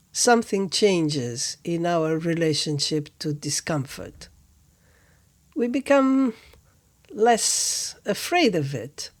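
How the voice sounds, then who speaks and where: Woman talking real slow and gentle, calm spiritual reflection, lots of pauses. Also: medium pitch. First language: Portuguese. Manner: gentle, measured, with long pauses between phrases. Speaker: spiritual teacher, podcast host. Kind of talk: reflective contemplative talk.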